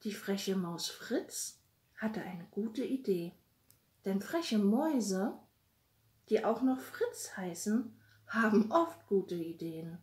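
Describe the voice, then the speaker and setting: A middle-aged woman gently reading a bedtime story for children, speaking softly and directly to her little listeners. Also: mid-range voice, very animated, takes it slowly. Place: small room at home. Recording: roomy, echoey home recording.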